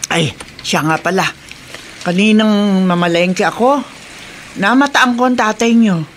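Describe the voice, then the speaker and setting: A woman's voice: medium pitch, unhurried, a little hiss or hum, close to an everyday voice. A woman playing a concerned elderly grandmother in a Filipino radio drama, with a Filipino accent. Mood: concerned, fond. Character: concerned elderly grandmother, gossiping gently about family.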